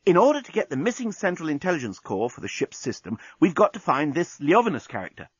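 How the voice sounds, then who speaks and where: A man voicing a brisk, clever woman who explains things in a matter-of-fact way. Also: fairly high pitch, very animated, slightly rough, dry recording, lo-fi sound, pitched above the performer's own voice. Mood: decisive.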